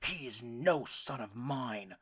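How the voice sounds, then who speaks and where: A woman voicing a gruff, stern duck father who bellows and blusters, read as a storybook. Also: sits low, wildly swooping pitch, takes it slowly, bright, forceful tone, pitched above the performer's own voice, heavily acted. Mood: angry.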